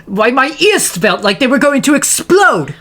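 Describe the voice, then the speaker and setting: Woman doing a snotty pegasus commander, raspy, mocking, swoopy slow delivery, audio play. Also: high voice, very animated, fairly bright tone, full character voice. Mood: sneering. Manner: mocking, sneering. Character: snide, brash pegasus commander. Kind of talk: audio drama acting.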